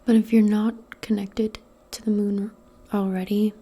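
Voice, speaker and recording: Slow, whispery woman doing soft-spoken ASMR. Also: mid-range voice, flat delivery. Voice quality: breathy, mostly whispered soft voice. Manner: gentle, soothing, unhurried, conversational. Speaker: ASMR performer role-playing a modern witch. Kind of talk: soft-spoken ASMR personal attention role play.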